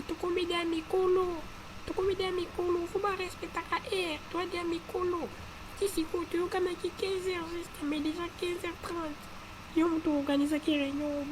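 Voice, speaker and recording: High-pitched, impatient cartoon complainer, played by a woman. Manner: complaining, repetitive. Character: impatient, weary complainer fed up with latecomers. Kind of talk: casual cartoon dialogue in Lingala. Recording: clear background noise behind the voice.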